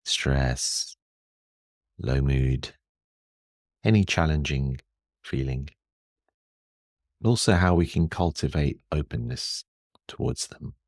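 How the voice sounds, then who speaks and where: A man guiding a meditation in a calm, soothing and measured manner, leaving long pauses. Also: very deep voice, no echo, studio quality. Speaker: meditation guide. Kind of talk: guided meditation.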